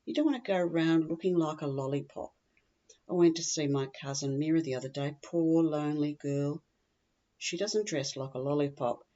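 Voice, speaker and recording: Low-pitched Australian female gossip, performed by a woman, blithely tactless and rambling, for a comic storybook monologue, slightly dull lo-fi recording. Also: moderate pace, dark tone, lightly acted. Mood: gossipy, tactless. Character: chatty, tactless, scatterbrained woman gossiping to a friend. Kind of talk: humorous monologue, performed reading.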